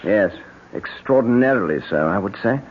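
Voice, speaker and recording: Courteous English gentleman husband, formal, slightly rough-edged husky voice, dull seventies radio recording. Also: on the low side, lively intonation, normal speed. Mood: concerned. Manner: courteous, formal, smooth-mannered. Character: worried, attentive English gentleman husband, politely proper. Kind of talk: 1970s radio drama acting. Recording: muffled 1970s radio drama recording.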